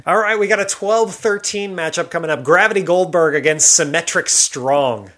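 A man's voice: a little high, normal speed, fairly bright tone. A man hosting a casual podcast chat, upbeat and laughing often as he runs through the picks. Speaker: podcast host.

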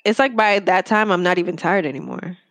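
Low-voiced woman telling a story casually. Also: brisk. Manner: relaxed, conversational storytelling. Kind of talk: casual chat.

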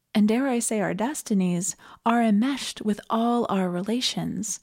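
A Canadian woman giving a reflective, scripted sermon in an earnest and measured manner. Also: on the high side, warm tone. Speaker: preacher.

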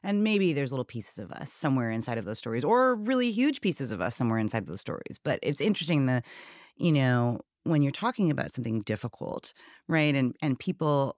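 A woman hosting a podcast interview, chatty and expressive, steering the guest with questions. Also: very deep voice, fairly quick, no room sound, clean studio sound. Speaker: podcast host, publisher.

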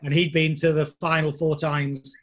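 A man's voice: fairly high pitch, flat delivery, moderate pace, a little hiss or hum. A man interviewing a new signing for his club, asking friendly, easygoing questions.

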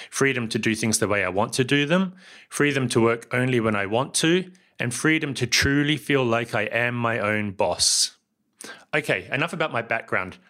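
Bright-voiced New Zealand man narrating. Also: medium pitch. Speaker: podcast host. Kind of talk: scripted solo podcast narration.

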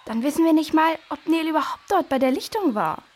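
A woman's voice: high-pitched, animated, a little fast. A woman playing a curious, worried young girl who asks earnest questions in a children's radio play. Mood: dismayed.

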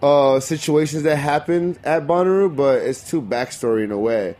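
Man, tired co-host, hoarse from yelling, relaxed, slightly high. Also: normal speed. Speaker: podcast co-host. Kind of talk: casual chat.

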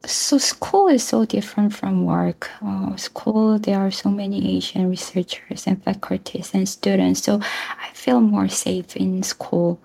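A young woman from South Korea with a Korean accent, a non-native speaker answering interview questions earnestly in halting phrases. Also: medium pitch, steady tone, gravelly, mellow, breathy edge, poor audio. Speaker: interview guest, mental health nurse and researcher.

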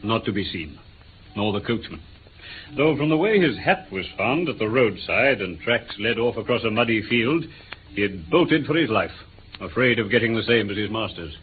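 Actor as low, stolid British police inspector. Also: male voice, lively intonation, moderate pace, close to an everyday voice. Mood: matter-of-fact. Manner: deferential, matter-of-fact. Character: Inspector Gregson, male police inspector, stolid, courteous, knowing. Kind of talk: vintage radio drama acting. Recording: tinny, noisy vintage radio recording.

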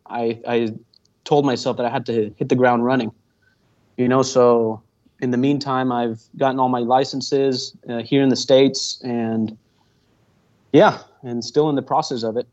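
A man's voice: medium pitch, steady tone, unhurried, a little mellow. Young man, rambling earnestly. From Venezuela. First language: Spanish. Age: 20s. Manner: earnest, rambling, with many fillers and restarts. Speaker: guest pilot. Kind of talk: casual interview answers. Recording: dull muffled audio.

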